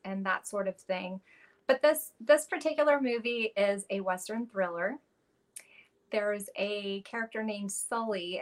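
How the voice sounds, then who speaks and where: A woman actor talking earnestly and warmly in an interview, often restarting her sentences. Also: mid-range voice. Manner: earnest, heartfelt, with frequent restarts and fillers. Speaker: actress guest. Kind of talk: casual interview talk.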